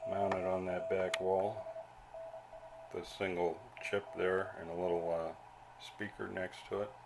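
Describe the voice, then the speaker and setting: A man with a fairly low voice talks casually, like a hobbyist showing off a homebrew build. His delivery is unscripted and halting, with pauses in the middle of phrases. The homemade recording has clear background noise. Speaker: amateur radio hobbyist. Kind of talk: casual off-the-cuff show-and-tell narration. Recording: clearly noisy homemade video audio.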